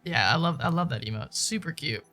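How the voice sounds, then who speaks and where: Guy live streaming a game, slangy and casual, higher voice with some creak, music behind. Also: lively intonation, brisk, bright, forceful tone. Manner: informal, slangy, says dude and man often. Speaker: game streamer chatting with viewers. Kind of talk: casual live-stream chat and gameplay commentary.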